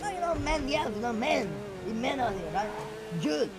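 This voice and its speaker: A man with a squeaky, high-pitched voice, yelling and rambling full of fillers. He is talking in character in a roleplay game. Music plays clearly behind him. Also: normal speed. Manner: animated, rambling with many fillers.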